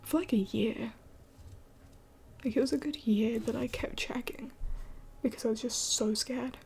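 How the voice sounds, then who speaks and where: A young woman in her twenties rambling through a late-night livestream Q&A, her mellow voice slipping in and out of a hushed whisper. She talks in a gravelly fry, a little higher than most, with faint noise behind her. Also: measured pace. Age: 20s. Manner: rambling, many fillers, often drops to a whisper. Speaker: livestream host. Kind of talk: late-night live Q&A chat.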